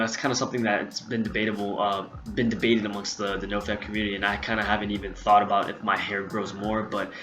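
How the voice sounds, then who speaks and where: A man's voice: on the low side, a bit bright. A young man talking casually to his laptop at home, rambling with lots of fillers, a little out of breath. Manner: rambling, filler-heavy, chatty. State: slightly out of breath. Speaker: vlogger. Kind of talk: casual talk to camera. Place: home room, indoors. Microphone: laptop built-in mic.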